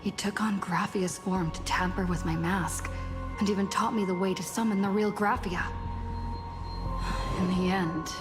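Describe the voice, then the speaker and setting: An actress playing a pensive young woman in a fantasy video game, speaking in a hushed, reflective way. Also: mid-range voice, fairly even intonation, normal speed, natural voice. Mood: troubled, reflective. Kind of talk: dramatic video game acting. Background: music.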